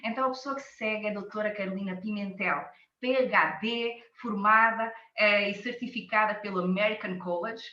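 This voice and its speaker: A woman's voice: medium pitch, normal speed, bright, forceful tone, echoey room, lo-fi sound. A woman from France with a French-tinged Portuguese accent, shaped by years in Portugal, hosting a live health webinar with enthusiastic, repetitive emphasis. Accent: French-influenced Portuguese, shaped by years in Portugal. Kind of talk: live online presentation.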